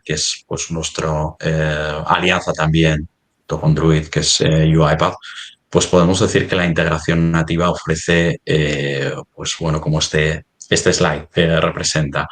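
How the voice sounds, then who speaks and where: A man with a Spanish accent from Spain presenting in a semi-formal webinar discussion, haltingly searching for his words. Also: very deep voice, steady tone.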